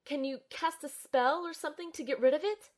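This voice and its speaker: A woman acts an earnest young female healer in an audio drama. Her voice sits fairly high and carries soothing, heartfelt concern as she moves between first-person narration and dialogue. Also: a little fast, close to an everyday voice. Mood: pleading.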